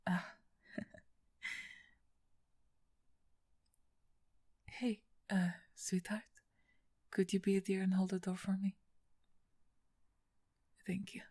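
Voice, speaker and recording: A woman playing a big, gentle, injured half-orc in an ASMR roleplay, speaking softly and hesitantly with a breathy, husky tone. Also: mid-range voice, little pitch movement. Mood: polite, amused. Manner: hesitant, soft-spoken, halting with pauses.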